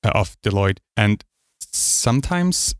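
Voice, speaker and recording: A man's voice: on the low side, lively intonation, slow pace, no room sound. A man hosting a podcast, reading a scripted intro and then chatting with a guest in an upbeat way. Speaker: podcast host. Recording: dry voice with faint music.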